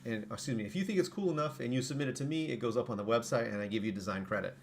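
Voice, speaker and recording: A man with a hoarse voice, casually explaining his products on a live stream and correcting himself as he goes. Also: medium pitch, very fast, slight background noise. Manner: rambling, self-correcting. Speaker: streamer and saber parts maker.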